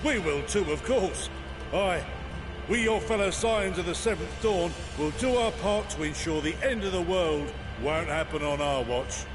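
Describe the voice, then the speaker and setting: An earnest young comrade speaks courteously in a high voice. Music plays behind him in this video game cutscene. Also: male voice, expressive, measured pace. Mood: reassuring.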